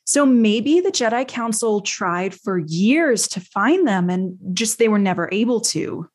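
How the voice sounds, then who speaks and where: A woman's voice: medium pitch, animated, a little slow. A woman chatting casually as a podcast panelist discussing a TV series. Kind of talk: casual chat about a TV series.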